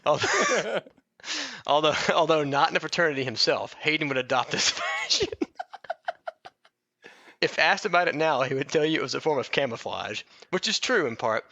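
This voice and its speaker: A man's voice: a little high, sing-song, normal speed, vocal fry, sharp tone, no echo, dull audio. A man hosting a podcast, reading a comic biography aloud with casual, jokey asides and a readiness to laugh. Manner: jokey, often breaking into laughter mid-sentence. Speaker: podcast host.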